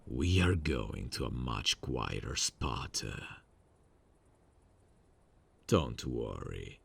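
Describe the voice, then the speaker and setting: A man voicing a gravelly whispering campus killer. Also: slow pace, exaggerated voice. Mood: cold amused. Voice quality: gravelly, husky low voice. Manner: calm, quiet, menacingly soft, often near a whisper. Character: soft-spoken male campus serial killer, calm and menacing. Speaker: voice actor. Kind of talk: ASMR audio drama.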